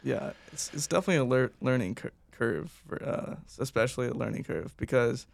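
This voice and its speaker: A man's voice: mid-range voice, brisk, creaky, no echo. A young man who is a personal trainer, answering interview questions in an earnest, agreeable way. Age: young adult. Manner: earnest, agreeable, frequent "yeah".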